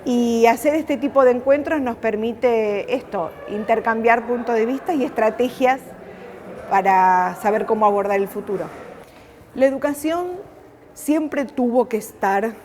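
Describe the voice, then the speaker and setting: Argentine woman academic, halting and reflective. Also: a little high, darkish tone, slight background noise. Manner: halting, repeating words while searching for phrasing. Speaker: university academic. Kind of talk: unscripted spoken reflection on camera.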